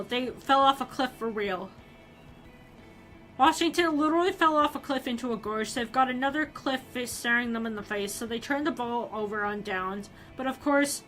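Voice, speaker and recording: A young woman reads a story aloud to her stream in a somewhat high, sharply bright voice. She keeps it animated and excitable, tripping over words and starting over as she goes. Soft music plays faintly behind her. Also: poor audio. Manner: animated, excitable delivery with frequent restarts.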